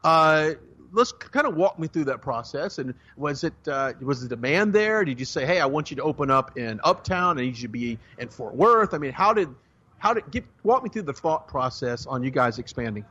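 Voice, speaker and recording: A chatty man hosts a radio talk show, tossing out friendly questions with plenty of filler. His voice sits a little high for a man, and the broadcast sound is muffled and lo-fi. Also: expressive, fairly quick, bright, forceful tone. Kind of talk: radio interview.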